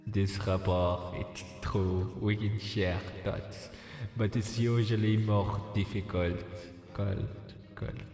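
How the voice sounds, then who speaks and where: A man voicing a brooding, ancient vampire master from a visual novel, speaking softly in a solemn, formal manner. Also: on the low side, flat delivery. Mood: solemn, tender. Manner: slow, solemn, formal delivery. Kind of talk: visual novel read-aloud with dramatic voice acting. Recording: echoing reverb, music behind.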